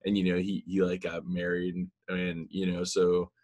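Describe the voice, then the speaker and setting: A man's voice: deep voice, moderate pace. A man in his thirties, a musician guest, talking casually and loosely with many fillers over a video call from a small room. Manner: relaxed, rambling, many fillers. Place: small room with blinds, indoors. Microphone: laptop webcam mic on video call.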